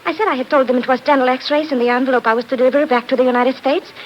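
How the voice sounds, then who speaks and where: A woman's voice: sits high, steady tone, very fast, close to an everyday voice. A woman playing an earnest young Portuguese woman with in a 1950s radio drama. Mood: shaken, reporting. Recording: muffled, noisy old radio recording.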